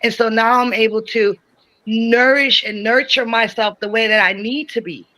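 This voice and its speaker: A woman's voice: fairly high pitch, fairly even intonation, moderate pace, bright, forceful tone, rough recording. A woman who grew up in Germany, talking earnestly in a casual podcast chat and often checking in with "you know what I'm saying". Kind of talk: casual conversation.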